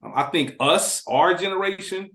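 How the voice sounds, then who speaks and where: A man's voice: sits high, normal speed, fairly bright tone. An American man chatting casually as a podcast panelist, plainspoken and full of slang. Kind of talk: casual chat. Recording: echoey room.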